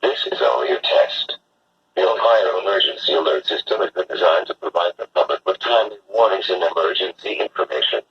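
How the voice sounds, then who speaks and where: A man's synthetic text-to-speech voice reading a scripted emergency test announcement in a flat, formal manner. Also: deep voice, fairly quick. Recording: muffled, noisy weather radio broadcast.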